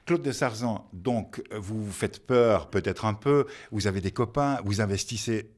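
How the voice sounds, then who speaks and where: A man hosting a radio interview, asking lively, effusive questions. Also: lowish voice, measured pace. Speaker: radio host.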